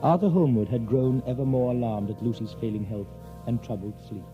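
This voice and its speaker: An actor playing a grave Victorian gentleman who narrates an audio drama in a formal, measured way. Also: medium pitch, natural voice. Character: grave Victorian gentleman recounting past tragic events. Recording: muffled, music behind.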